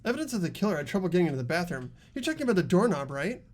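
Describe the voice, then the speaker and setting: A performer playing an earnest, argumentative high school student with animated questioning in a fan-voiced game. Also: rapid-fire. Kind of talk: fan voice acting of a video game script.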